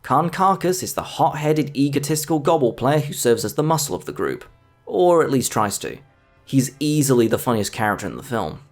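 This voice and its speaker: A man delivers scripted film commentary in a medium-pitched voice with lively, varied intonation. He speaks as a video essayist walking through a review, with music playing faintly underneath. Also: brisk. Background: background music.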